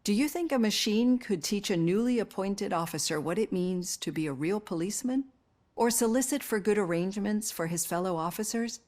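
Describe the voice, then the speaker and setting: A woman's voice: medium pitch, darkish tone, natural voice. Female psychologist for a game, played by an actress: mid-range voice, composed and caring, careful earnest phrasing. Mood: earnest, reassuring. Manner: measured, earnest, articulate. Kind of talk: acted video game dialogue.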